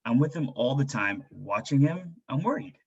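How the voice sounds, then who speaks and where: A man's voice: mid-range voice, animated, moderate pace, slightly rough, dull audio. A man playing a worried, frustrated father in a staged drama reading, with a pleading, earnest manner. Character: worried, frustrated stay-at-home father and husband.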